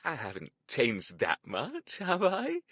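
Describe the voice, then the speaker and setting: An actor playing a gentle, shabby perpetual student in a visual novel, earnest and self-deprecating. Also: male voice, on the high side, wildly swooping pitch, slow, husky, no echo, studio quality, full character voice. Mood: eager, tentative.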